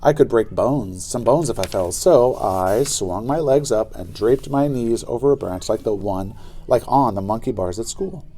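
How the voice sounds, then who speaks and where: A man narrating a children's story aloud in an expressive, dramatic way. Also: mid-range voice, moderate pace, a little hiss or hum. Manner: animated, dramatic delivery.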